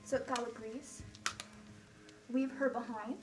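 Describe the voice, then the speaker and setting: A woman's voice: a little high, fairly even intonation, slow, over music. A young woman on stage playing an anxious, distrustful character with urgent, dramatic, mock-archaic speech. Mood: urgent, reproachful. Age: young adult. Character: anxious, jealous first mate and bitter, distrustful siren's voice. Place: school theater stage, recorded from far off. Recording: echoey school stage, distant microphone.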